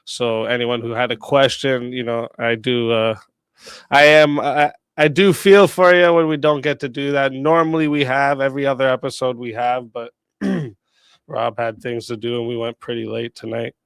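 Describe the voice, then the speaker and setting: A man hosting and moderating a debate stream, wrapping up with rambling thanks to the chat, his voice going hoarse as he gets sick. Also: medium pitch, steady tone, normal speed, no echo. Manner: rambling, many fillers, thanks the chat at length. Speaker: debate show host and moderator. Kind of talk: casual livestream wrap-up.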